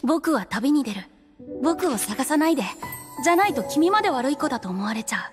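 A woman's voice: high voice, big pitch swings, brisk. A woman voicing a tender, self-sacrificing young blue oni in a storybook tale within a video game. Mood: tender, wistful. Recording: long reverb over tinkling music.

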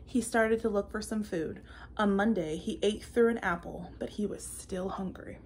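A woman's voice: mid-range voice, normal speed, a little hiss or hum. A woman in her twenties reading a children's picture book aloud in a playful, theatrical manner. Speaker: children's story-time reader. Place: indoor room with bookshelves.

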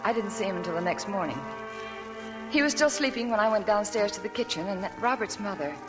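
An actress playing a sad young woman hiding her past, in a soft, subdued 1940s radio drama style. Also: medium pitch, lively intonation, fast, natural voice, poor audio. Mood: confiding. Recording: old radio broadcast with faint music.

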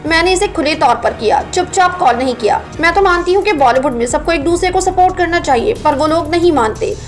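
A woman's voice: extremely high pitch, animated, fairly quick. A woman narrating scripted entertainment news as a voice-over. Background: background music.

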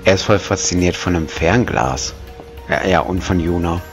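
A man's voice: deep voice, moderate pace, faint music behind. A man with a cold, making wry, joking asides as he comments on a video game. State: has a cold. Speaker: let's play commentator. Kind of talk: casual gameplay commentary.

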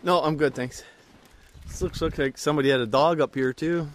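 A man's voice: fairly high pitch, fairly even intonation, fairly quick. A man with a Canadian accent, a hiking companion chatting easily outdoors in snowy woods, heard from a little way off the camera. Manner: easygoing, agreeable replies. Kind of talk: casual chat on a hike. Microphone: distant from the camera. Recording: faint wind, voice from off the mic.

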